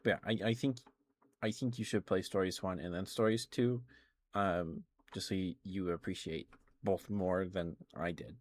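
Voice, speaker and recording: A man chatting casually in an ASMR style as a live streamer, in a halting, gentle, rambling way. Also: deep voice, steady tone.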